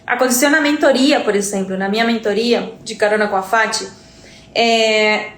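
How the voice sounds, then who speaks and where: A woman's voice: on the high side, moderate pace, fairly bright tone, echoey room. A Uruguayan woman, a native Spanish speaker with a Uruguayan accent, teaching in an earnest live-stream lesson. She often repeats words and checks in with her listeners.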